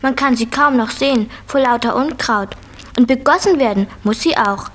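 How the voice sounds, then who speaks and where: Small girl, about four, high voice, bossy and inquisitive, vintage kids' audio drama. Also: moderate pace, a bit bright. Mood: eager, curious. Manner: inquisitive, insistent. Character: curious, bossy four-year-old girl Julia. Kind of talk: 1970s German children's radio play acting.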